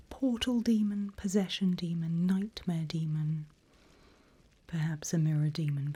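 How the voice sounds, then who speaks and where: A woman plays a sly demon mentor in a very breathy, husky ASMR whisper. Her voice is low and soft, delivered slowly and deliberately with teasing menace, right up close to the microphone. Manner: slow, deliberate, playful menace. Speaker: ASMR roleplay performer.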